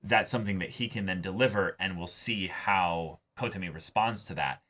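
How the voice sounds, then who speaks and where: A man hosting a reaction show, giving casual, opinionated commentary in a halting way, repeating words and slipping into quoted asides. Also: deep voice, pushed and bright. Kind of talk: casual opinionated discussion of an anime episode.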